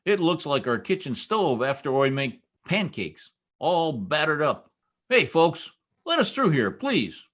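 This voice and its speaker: A man's voice: on the high side, sing-song, measured pace, a bit bright, full character voice. A man playing a boastful, folksy small-town husband full of slangy bluster in an old-time radio comedy. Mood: bossy. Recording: video call recording.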